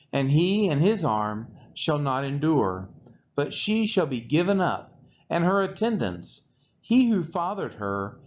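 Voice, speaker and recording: A man reading scripture aloud in a solemn style, with measured, deliberate phrasing. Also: a little high. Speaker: scripture reader. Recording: dull lo-fi recording with faint noise.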